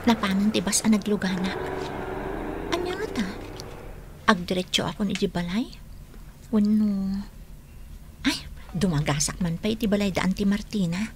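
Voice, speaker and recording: A woman's voice: medium pitch, lively intonation, moderate pace, pauses often, background noise, close to an everyday voice. A woman playing a devoted, polite young daughter in a radio drama, with an Ilocano accent.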